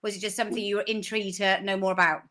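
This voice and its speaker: A woman's voice: mid-range voice, level tone, racing, sharp tone. A woman from South Wales hosting a podcast, upbeat and chatty as she asks questions. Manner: upbeat, chatty, laughs easily. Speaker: podcast host. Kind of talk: podcast interview.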